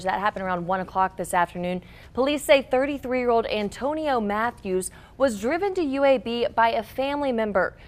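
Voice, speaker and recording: A woman working as a news anchor, reading scripted local news stories. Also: mid-range voice.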